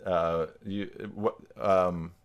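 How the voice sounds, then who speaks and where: A man's voice: low-pitched, level tone, extremely slow, lo-fi sound. A man hosting a casual live stream over a video call, chatting in a rambling, jokey way.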